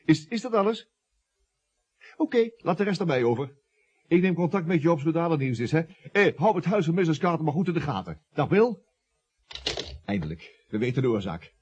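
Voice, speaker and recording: A muffled old radio play carries an excitable, persuasive estate agent. His voice is slightly high and rough-edged. He hurries along glibly, coaxing and scheming with little hesitations. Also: brisk, long pauses. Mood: excited scheming. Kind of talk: Dutch radio drama acting.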